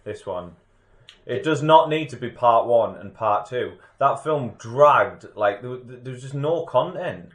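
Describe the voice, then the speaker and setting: A man's voice: mid-range voice, expressive, a little slow, reverberant. A man co-hosting a casual film podcast, rambling and trailing off mid-thought. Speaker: podcast co-host. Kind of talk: casual chat over beers.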